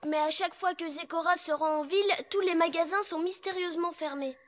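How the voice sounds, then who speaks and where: An actress voicing a plucky, trusting child pony in a French cartoon dub, childlike and matter-of-fact. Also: female voice, extremely high pitch, steady tone, fairly quick. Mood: explaining. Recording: music behind the voice.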